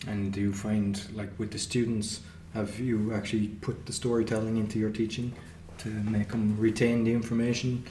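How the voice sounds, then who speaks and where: A man's voice: lowish voice, little pitch movement, moderate pace, hardly any pauses, mellow. A man hosting a podcast interview, talking casually and conversationally as he asks his guest questions. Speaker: podcast host. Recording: echoey room with faint noise.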